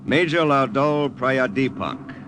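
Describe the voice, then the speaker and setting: A man's voice: animated, takes it slowly. An actor playing a wisecracking American lieutenant, a secret agent telling of his mission in a 1950s radio drama with a brash, wry delivery. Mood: wry narration. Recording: noisy old radio recording with engine rumble.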